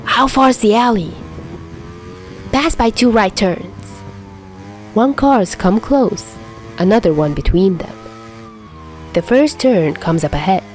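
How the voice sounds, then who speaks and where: Female amateur fiction narrator, dramatic and expressive, lively intonation, faint music bed. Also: lowish voice. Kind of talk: expressive scripted story narration.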